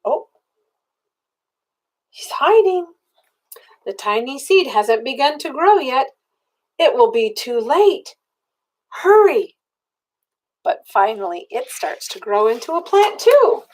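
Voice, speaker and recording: A woman's voice: sits high, slow pace, pauses often, rough recording. An older woman reading a children's picture book aloud as a grandmother, expressively, with questions in tones meant for a small child. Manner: expressive, asking the young listener simple questions in child-directed tones. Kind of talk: children's storybook read-aloud.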